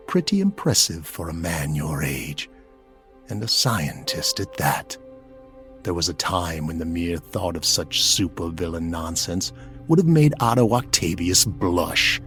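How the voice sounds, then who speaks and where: A man's voice: deep voice, very animated, a little slow, a bit bright, soft background music, heavily acted. A man voicing a menacing, mocking, condescending supervillain in a theatrical comic book dub. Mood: mocking menace. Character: menacing, mocking male supervillain in powered costume.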